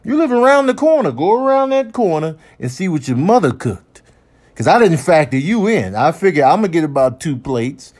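A man with a high voice riffs casually in a slangy, conversational way. He comments as a parent, spinning stories with comic mock indignation. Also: sing-song, normal speed, a little mellow.

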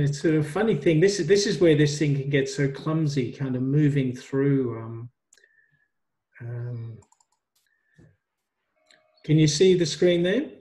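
Middle-aged man, a bit higher voice, tutoring online on a headset, loose and hesitant. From migrant to Australia. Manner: relaxed, hesitant with many ums. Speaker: university tutor. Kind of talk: online workshop teaching.

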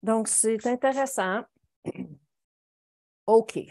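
A woman's voice: a little high, drawn-out words, darkish tone. Middle-aged female art instructor, Quebec French accent, conversational live demo on a video call. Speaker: watercolour painting instructor. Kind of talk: live painting demonstration, informal explaining. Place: home studio, indoors.

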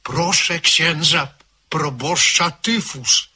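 A priest in an old radio play, calm and solemn. He speaks very slowly, with a fairly high, bright voice. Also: husky, heavily acted. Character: priest recounting a lady's death.

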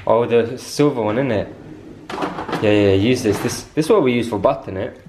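A man's voice: medium pitch, a little fast. A young man, joking around and chatting casually while helping cook at home. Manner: joking, laid-back. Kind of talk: casual banter. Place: home kitchen, indoors. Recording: noisy kitchen, sizzling pan. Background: frying and stirring sounds.

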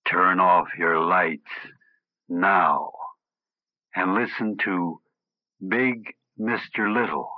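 A man's voice: low-pitched, fairly even intonation, very slow, pauses often, no echo, muffled recording. A man playing an ominous host introducing an old-time radio horror show.

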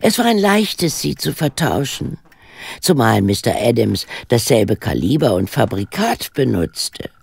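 A woman's voice: sing-song, unhurried, a little mellow, dry recording, heavily acted. An older woman acting a shrewd, unflappable elderly amateur detective in a German audio drama, composed and pointed. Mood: shrewd, accusing. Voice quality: low, husky, gravelly.

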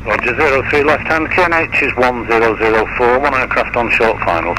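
A man's mid-range voice comes over an aircraft radio with clear noise. He gives short, procedural airfield information to pilots. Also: mid-range voice, brisk. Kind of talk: brief aviation radio information. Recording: noisy aircraft radio transmission.

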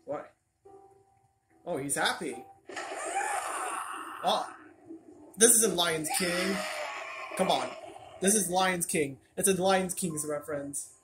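A person voicing an excitable young turtle plush reacting to a video in halting, repeated exclamations. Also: mid-range voice, close to an everyday voice. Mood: concerned, surprised. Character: young male Bowser Junior plush reacting, excitable, earnest. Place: indoor room at home. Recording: music from laptop behind the voice.

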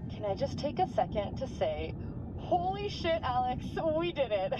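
A woman's voice: high-pitched, sing-song, moderate pace, muffled recording, heavy background noise. A woman playing an eager, curious young diver in a video game, exclaiming through a dive mask intercom filter. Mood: thrilled.

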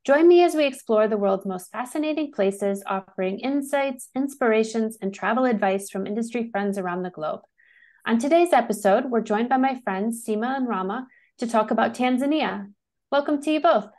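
A woman's voice: fairly high pitch, moderate pace, a little mellow. A woman in her thirties hosting a travel show over a video call through an earphone mic, upbeat and brief in her replies. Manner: upbeat, short affirmations. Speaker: podcast host.

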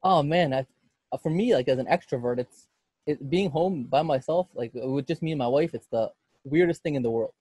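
A young man with a slightly higher, mellow voice that sounds dry and close. He co-hosts a podcast interview in a chatty, casual way. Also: fairly quick, breathy edge, dry recording. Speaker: podcast co-host.

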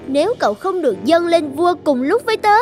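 A woman voicing a haughty, boastful iron jar in an animated fairy tale, talking scornfully. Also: very high voice, a little fast, soft background music.

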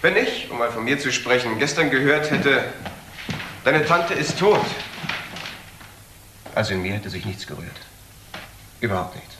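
A man's voice: mid-range voice, animated, close to an everyday voice. Man acting a bitter nephew, roomy noisy recording. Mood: scornful. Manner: reproachful, sardonic, pointed pauses. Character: bitter, taunting young nephew of an unloved aunt. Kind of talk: German radio crime drama acting. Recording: roomy, clearly noisy old audio.

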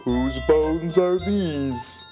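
A woman voicing a solemn male frog in a low, slow, grave voice. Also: over music.

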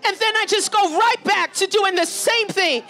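A woman's voice: very high-pitched, normal speed, bright, forceful tone, faint music behind. A woman preacher leading fervent charismatic prayer, urgent and pleading, exhorting with repeated phrases.

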